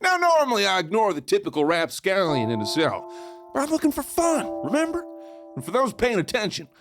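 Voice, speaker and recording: Cocky Wild West outlaw with lively swings. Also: medium pitch, fairly quick, over music. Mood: sly narrating. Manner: showy, folksy, playful swagger. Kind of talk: theatrical first-person storytelling, audio drama.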